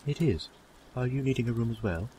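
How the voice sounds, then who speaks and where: A man's voice: mid-range voice, animated, a little fast, background noise, close to an everyday voice. A man playing a polite, slightly bemused tavern keeper in a fantasy audio drama, businesslike and a little hesitant.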